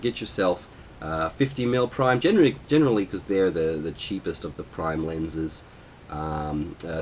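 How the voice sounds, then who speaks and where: Very low male voice, congested from a cold, relaxed vlog-style explainer. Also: a little hiss or hum. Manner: relaxed, lots of ums and uhs. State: nasal from a cold. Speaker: photography vlogger. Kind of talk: casual tutorial talk to camera.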